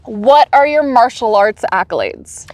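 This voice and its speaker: A woman hosting a relaxed sit-down chat. Her voice sits fairly high and swings widely in pitch. She stays upbeat and encouraging and keeps building up her guest. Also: a little slow, no room sound. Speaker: podcast host. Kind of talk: casual sit-down interview.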